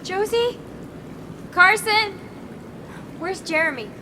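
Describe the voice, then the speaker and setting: A woman's voice: extremely high pitch, lively intonation, takes it slowly, background noise, full character voice. An actress playing a concerned, defiant captive woman in an audio drama, earnest and emotional. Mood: dazed concern.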